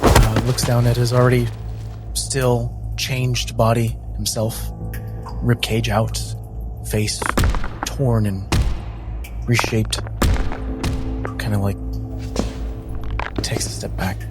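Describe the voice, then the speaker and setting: A man's voice: mid-range voice, flat delivery, slow, pauses often. A man playing a tabletop roleplaying game, describing what his character does. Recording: clear music behind the voice.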